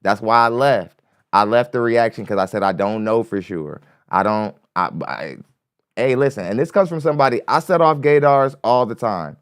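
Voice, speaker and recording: Man, podcast host, light voice, rambling, cursing, clear tone, dry studio sound. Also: lowish voice, normal speed, dry recording. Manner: rambling, repeats himself, curses freely. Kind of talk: casual commentary on a clip. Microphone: studio mic.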